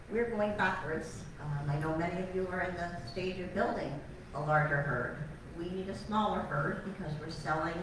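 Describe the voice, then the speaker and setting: An older woman, a retired teacher turned cattle farmer, telling personal stories to an audience in a chatty, emotional, self-deprecating way. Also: on the low side. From born in Alabama, raised on Long Island. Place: large meeting hall. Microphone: far room mic. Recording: echoey hall from far off, noisy.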